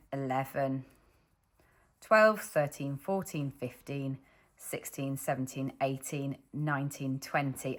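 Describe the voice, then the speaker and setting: A woman's voice: on the low side, fairly bright tone. British woman teaching crochet step by step. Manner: patient, explaining while demonstrating. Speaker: crochet instructor. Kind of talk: step-by-step tutorial. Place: indoors at a wooden table, hands only.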